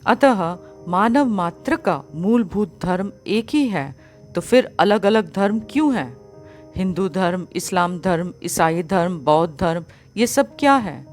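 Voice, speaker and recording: A woman reading a spiritual discourse as scripted narration, in a measured, formal, didactic manner. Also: lowish voice, lively intonation, a little mellow, faint music behind. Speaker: audiobook reader of a spiritual book.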